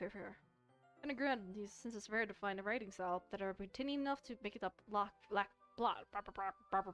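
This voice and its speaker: A woman's voice: on the high side, a bit bright. A woman streaming a visual novel, mixing casual, rambling, playful chat with reading aloud. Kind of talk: casual let's-play chat and read-aloud. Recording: music behind the voice. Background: music.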